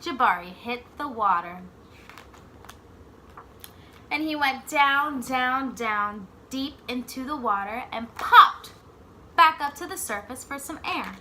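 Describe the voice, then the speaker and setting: A woman reading a picture book aloud like a teacher, expressively, pausing to put simple questions to young children. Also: high voice, expressive, background noise. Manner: pauses to ask simple questions and echo the answers.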